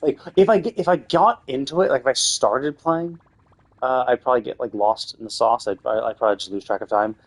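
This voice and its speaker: A man's voice: medium pitch, brisk, rough recording. A man streaming a game live, thinking aloud in a loose, rambling way with lots of restarts. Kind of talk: casual live-stream commentary while playing.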